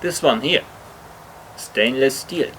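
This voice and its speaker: A man's voice: mid-range voice, takes it slowly, noisy background. A man narrating an explanatory hobby vlog in hesitant, slightly awkward non-native English phrasing. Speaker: video host, hobby motorcycle customizer.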